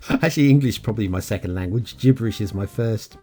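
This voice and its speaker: A man hosting a map review show, giving relaxed running commentary over game footage with lots of chuckles and ums. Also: fairly low pitch, a little fast, breathy edge, faint music behind. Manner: chatty, self-mocking, chuckles often, trails off with ums. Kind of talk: casual gameplay commentary.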